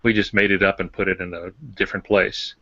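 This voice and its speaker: An older man giving casual, encouraging writing advice as a podcast co-host, in a measured manner. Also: low voice, little pitch movement, sharp tone, no room sound. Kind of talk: casual instructive talk. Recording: dry, muffled lo-fi online-call audio.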